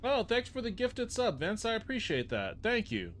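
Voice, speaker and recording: A man's voice: high voice, huge pitch swings, normal speed, a bit bright, a little hiss or hum. A man raised in Japan, live-streaming a game and chatting casually with his viewers. He is upbeat, thanks people by name and swears casually. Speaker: livestreamer.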